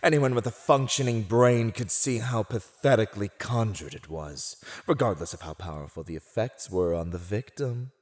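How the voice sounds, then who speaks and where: A man's voice: fairly low pitch, moderate pace, hardly any pauses, close to an everyday voice. A man voicing a mocking, silver-tongued fantasy villain in a scripted ASMR roleplay, gloating over a captive in a teasing, condescending, theatrical drawl. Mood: gloating, scornful. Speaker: voice actor.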